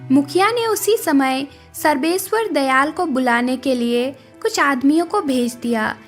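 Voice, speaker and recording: A woman narrates a scripted moral tale. Her voice sits high, and light music plays underneath. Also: lively intonation, measured pace. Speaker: story narrator.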